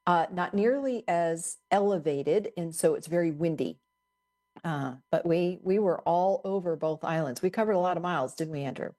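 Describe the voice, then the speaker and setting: A woman's voice: fairly low pitch, moderate pace, warm tone. A woman guest on a casual podcast, good-humored and teasing as she describes a dive trip. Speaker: magazine contributor, guest.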